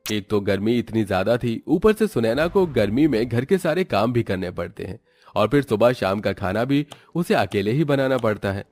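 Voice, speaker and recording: A man's voice: on the low side, animated, moderate pace, a little mellow. A man narrating a scripted moral story as its storyteller.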